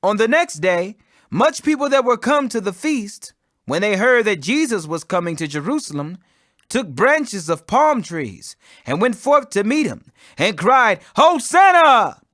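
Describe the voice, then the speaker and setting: A man reading the Bible aloud as a dramatic audiobook, declamatory and expressive, with emphasis on quoted speech. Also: high voice, a little slow, no room sound, clean studio sound. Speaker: scripture reader.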